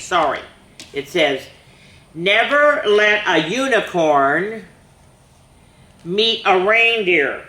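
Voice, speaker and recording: A woman's voice: low voice, pushed and bright, reverberant. A woman reading a rhyming picture book aloud to children, with chatty asides between pages. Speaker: children's storyteller.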